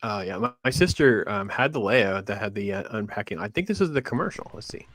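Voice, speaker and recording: A man's voice: lowish voice, lively intonation, quick pace, a bit bright. A middle-aged man hosting a casual panel chat. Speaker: host.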